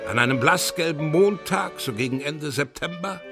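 A man narrating a children's radio play, telling the story deliberately in short, measured phrases. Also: fairly high pitch, expressive, over music.

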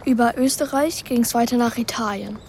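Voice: medium pitch, steady tone, normal speed, noisy background, lightly acted. A young performer playing an earnest, worried boy who narrates his own story in a German children's radio drama. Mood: excited. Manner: earnest, plain-spoken, childlike.

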